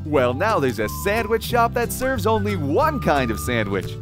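A man's voice: high-pitched, very animated, normal speed, music in the background. A man playing an upbeat announcer in a parody sandwich advert. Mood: salesy. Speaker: commercial announcer.

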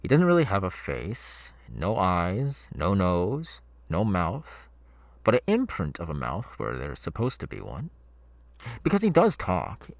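Man acting creaky, gleefully sinister masked henchman. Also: sits low, wildly swooping pitch, no room sound, full character voice. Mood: chatty, sinister. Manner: halting, rambling, mock-soothing menace. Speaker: ASMR voice actor. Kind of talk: ASMR horror audio roleplay.

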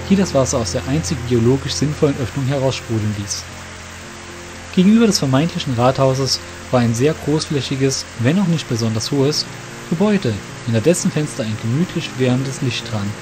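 A male narrator reads a fantasy tale in a descriptive storytelling style. His voice sits at a medium pitch, with music clearly heard behind it. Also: low-quality recording. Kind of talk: scripted fantasy audiobook narration.

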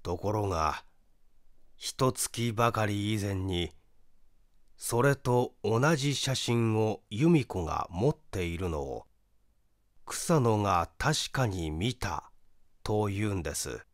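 A man playing a grave, regretful brother who explains in a measured, halting way, in a story reading. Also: lowish voice, expressive, no echo, clean studio sound. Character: grave, regretful brother recounting a family mystery.

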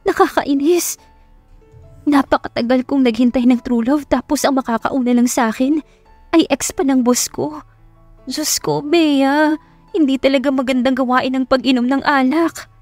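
Casting: flustered, proud young Filipino female assistant played by a woman narrator, raised pitch, audio romance, pleading and indignant. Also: fairly even intonation, moderate pace, a little mellow, no room sound, faint music behind, natural voice. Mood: anxious regret.

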